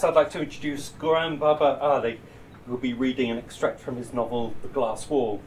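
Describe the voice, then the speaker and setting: A man's voice: mid-range voice, darkish tone. Guy hosting a reading night, a bit far from the mic, faint room noise. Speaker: event host. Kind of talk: introducing a reader, scripted bio. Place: live event in a bar. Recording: distant mic, faintly noisy bar.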